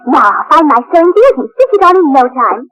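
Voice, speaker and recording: Eager little girl, super high n swoopy, prim but excitable, stagey family drama. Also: fast talker, crowd noise, poor audio. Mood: chatty, fussy. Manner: gushing, breathlessly excitable. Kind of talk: theatrical scripted family drama acting.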